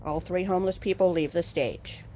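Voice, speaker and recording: A woman's voice: lowish voice, normal speed, mellow, slight background noise. A woman reading scripted stage directions as narrator of a play reading. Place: video call.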